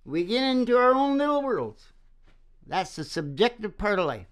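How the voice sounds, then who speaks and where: A man's voice: sits high, sing-song, normal speed, clear, resonant tone. A man hosting a casual philosophical chat, talking in a rambling, folksy, preachy way and often checking that he is agreed with. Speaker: host.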